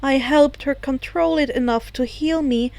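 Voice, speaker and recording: A woman voicing a calm, formal middle-sized role of a retired supervillain father in a story reading. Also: high-pitched, measured pace, creaky, no room sound. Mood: matter-of-fact. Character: retired supervillain father, adult man, calm and matter-of-fact.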